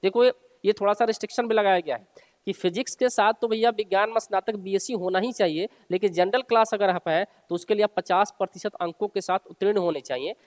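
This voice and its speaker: A man's voice: sits high, fast. A man teaching in an informal explainer style, reading out a notice and repeating key points in an instructive way for his listeners. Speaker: exam coaching teacher. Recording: long hall-like reverb.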